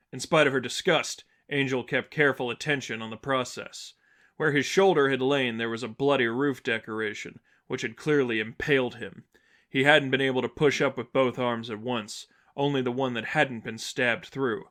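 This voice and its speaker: A man narrates an action story from a script. His voice sits at an average male pitch, in the manner of an amateur audiobook reading. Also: bright, forceful tone. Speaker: narrator reading fan fiction.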